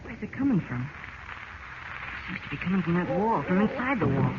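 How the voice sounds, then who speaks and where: A man's voice: sits high, sing-song, moderate pace. An actor playing a plucky, insistent teenage boy who helps the hero, in an old-time radio drama, bossy and earnest. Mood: wary. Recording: noisy muffled vintage radio broadcast recording.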